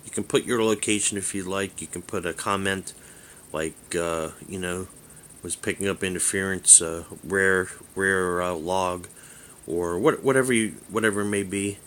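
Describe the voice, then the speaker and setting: Male hobbyist vlogger, low-pitched and forceful in tone, casual walkthrough, meandering and hesitant, faintly noisy recording. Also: steady tone. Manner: halting, rambling, thinking aloud. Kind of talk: casual hands-on app demonstration.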